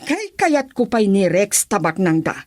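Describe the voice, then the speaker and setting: Stern mother, middle-aged, class-conscious, scolding, medium pitch, radio drama acting. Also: sing-song, measured pace, a little mellow, no echo. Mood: indignant, scolding. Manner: scolding, indignant.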